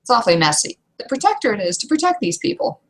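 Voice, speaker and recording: A woman's voice: on the high side, very animated, fast talker. A woman playing a seasoned, protective young troll in a live roleplay, talking bluntly and chattily with emphasis. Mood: chatty, explaining.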